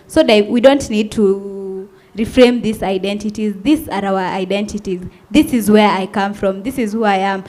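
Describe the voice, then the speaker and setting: A young woman with a Kenyan accent, speaking as a panelist at a university forum, soft-spoken but firm. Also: mid-range voice.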